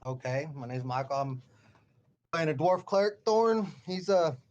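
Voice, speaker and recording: A man playing a tabletop game who introduces his character in a plain, slightly halting way. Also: a little high, expressive, darkish tone, muffled recording. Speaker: player.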